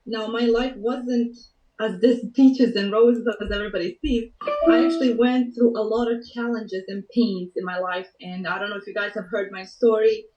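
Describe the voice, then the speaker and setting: A woman from Bosnia, a guest telling her personal story, earnest and emotional and softly spoken. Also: medium pitch. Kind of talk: personal story on a talk show. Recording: muffled, roomy audio from a distance.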